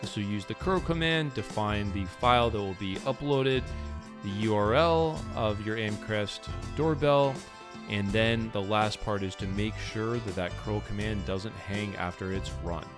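A man's voice: on the low side, measured pace, music in the background. A man, explaining technology as the host of a tutorial video. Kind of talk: explanatory tech tutorial, presenting to camera and in voice-over.

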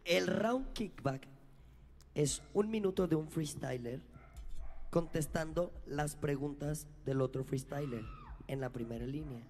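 A man explains the rules slowly and carefully, his voice gravelly and lively in pitch, slightly raised. The sound rings out with a long reverb from the large hall, and a faint beat plays underneath. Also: slight background noise. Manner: slow, explanatory. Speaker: event host explaining the rules. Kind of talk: live event hosting. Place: large indoor stage at a live event. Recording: reverberant hall on live stage mic.

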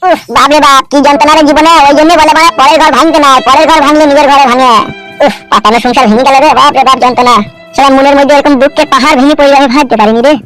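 A man acts out a down-on-his-luck cartoon villager with an extremely high voice. He rattles along in a rural West Bengal accent, whining, cursing and repeating himself in self-pity, with clear music behind him. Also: steady tone, rapid-fire, fairly bright tone, rough recording. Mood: despairing. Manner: whining, ranting, repetitive. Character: homeless, self-pitying, foul-mouthed village man. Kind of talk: comedy cartoon dialogue.

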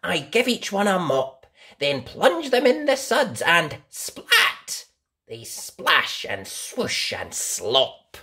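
Female children's story reader, slow and theatrical, with hugely varied intonation. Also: lowish voice, huge pitch swings, bright, forceful tone. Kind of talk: expressive children's read-aloud.

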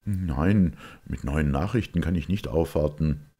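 A man voicing a gloomy, anxious young secretary in an audiobook, troubled and hesitant, with a husky voice. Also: rumbling low, measured pace, dark tone, full character voice. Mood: guarded.

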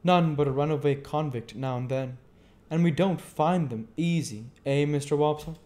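A man's voice: fairly high pitch, normal speed, warm tone, voice pushed up, lightly acted. A man reading aloud voices a plain-spoken male village blacksmith with homely, hesitant speech.

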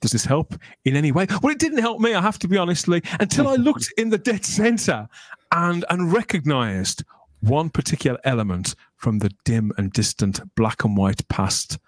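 A middle-aged man hosts a fan podcast in a chatty, enthusiastic way. His voice sits a little high and moves around a lot, with joking asides. It is recorded close and dry. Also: very animated, moderate pace, dry recording. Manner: enthusiastic, jokey, wordy. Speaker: podcast host. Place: small room at home, on a video call. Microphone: close podcast microphone.

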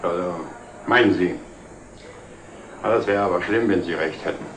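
A man's voice: sits low, normal speed, roomy sound, recorded from a distance, lightly acted. An actor playing a concerned, affable middle-aged man of some authority in a 1960s German TV drama. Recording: hissy old TV film soundtrack.

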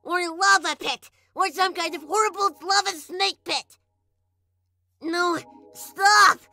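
A woman's voice: very high-pitched, slow pace, sharp tone, full character voice. A woman voicing a small, selfish, sarcastic talking flower with an exasperated, scornful manner, in a comic dub. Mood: panicked, angry. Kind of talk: animated comic dub voice acting. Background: music.

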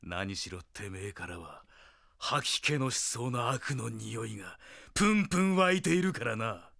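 An actor playing a tough, righteous young delinquent hero in a Japanese audio drama, with rough, curt, taunting speech. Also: male voice, mid-range voice, expressive, normal speed, a bit bright, no room sound, studio quality. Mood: contemptuous anger.

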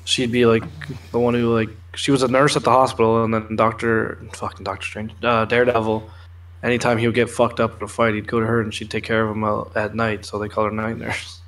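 A man's voice: lowish voice, level tone, fairly quick, a bit bright. A young man co-hosting a casual pop culture chat from a room at home, explaining things informally and swearing freely. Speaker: co-host of a pop culture news show. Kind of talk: casual chat, explaining film trailers and comics.

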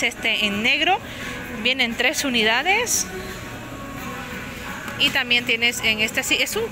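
A woman's voice: on the high side, noisy background. Chatty woman touring store aisles. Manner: chatty, lists prices and sizes, self-corrects. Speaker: shopping vlogger. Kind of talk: casual store walkthrough narration. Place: inside a large discount supermarket. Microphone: handheld phone camera. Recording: music playing under the voice. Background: background music.

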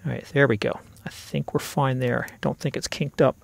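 Casting: middle-aged male tutorial host, conversational and methodical, creaky mid-pitched voice. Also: little pitch movement, brisk. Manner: conversational, step-by-step explaining. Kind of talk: casual hands-on instructional narration.

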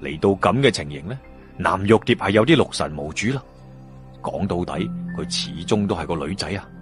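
Male Cantonese storyteller, Hong Kong accent, lively intonation, dramatic and colloquial, over clear background music. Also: low voice. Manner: expressive, colloquial, with drawn-out sentence particles. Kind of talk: dramatic audiobook-style fantasy story narration. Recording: music behind the voice.

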